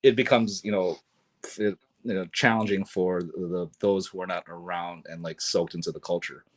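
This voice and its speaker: A man speaking as a guest on a podcast, relaxed and chatty, leaning on lots of fillers. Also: sits low, normal speed. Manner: relaxed, filler-heavy, sprinkled with "you know". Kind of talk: casual conversation.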